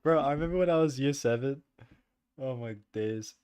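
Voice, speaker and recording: A young man streaming and chatting loosely with viewers, rambling with lots of "like" and "bro" and frequent laughter, in a soft voice. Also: medium pitch, moderate pace, smooth, dry recording. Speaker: livestreamer. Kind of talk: casual chat with stream viewers.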